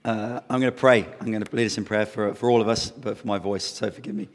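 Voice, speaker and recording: A man preaching a sermon and leading prayer, hoarse from losing his voice, in an earnest, conversational way. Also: fairly low pitch, steady tone, fast, long reverb tail.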